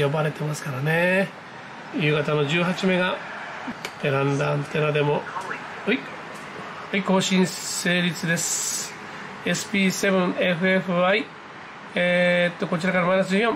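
Man, high voice, excited casual hobby commentary, exclamatory, indoor camera-mic recording, noisy background. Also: normal speed. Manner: exclamatory, reacting aloud as things happen. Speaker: amateur radio operator hosting his own video. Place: indoors at a desk, filming a computer screen.

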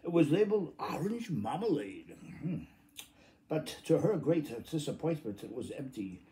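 Mellow-voiced man reading haltingly aloud. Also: a little high, big pitch swings. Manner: halting, stumbling reading with muttered asides. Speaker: amateur storybook reader. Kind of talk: informal read-aloud of a children's classic. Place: small room at home, indoors.

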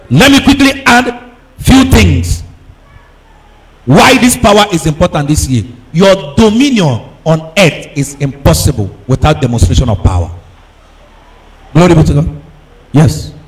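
A man preaching a charismatic sermon, emphatic and exhorting, repeating phrases, firing questions at his congregation and at times breaking into shouts. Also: on the high side, sing-song, measured pace, pauses often, low-quality recording. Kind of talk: charismatic sermon with call and response.

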